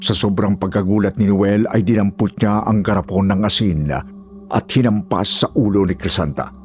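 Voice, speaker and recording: A man's voice: on the low side, fairly even intonation, moderate pace, darkish tone, a little hiss or hum. A man narrating a scripted horror story as the storyteller.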